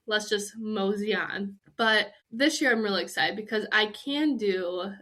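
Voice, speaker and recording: A woman's voice: medium pitch, unhurried, sharp tone. Young woman, nasally voice, casual podcast chat, candid, lots of filler words. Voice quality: nasally voice from a cold. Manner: chatty and candid, with many "like" fillers. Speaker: podcast host.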